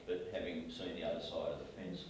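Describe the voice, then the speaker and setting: An Australian man speaking as a panelist in a measured, reflective way. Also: low voice, fairly even intonation, a little mellow, a little hiss or hum. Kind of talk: panel discussion. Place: small meeting room. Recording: echoey room from far off.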